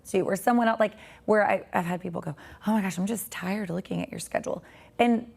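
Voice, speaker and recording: A woman in her forties, a podcast guest with a raspy, tired voice, talking earnestly and reflectively about faith. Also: mid-range voice, brisk, darkish tone. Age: 40s.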